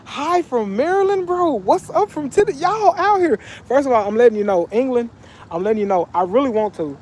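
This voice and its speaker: A man's voice: sits high, mellow, slight background noise. A young man from Texas chatting casually on a phone livestream by a pool, reading out comments in a loose, slangy way. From Texas, USA. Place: outdoors by a pool.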